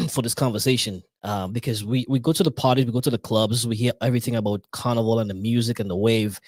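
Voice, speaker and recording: A man's voice: mid-range voice, a little mellow, dry recording. A man hosting a live podcast, talking with frequent restarts and stammers. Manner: many restarts and fillers, stammers. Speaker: podcast host.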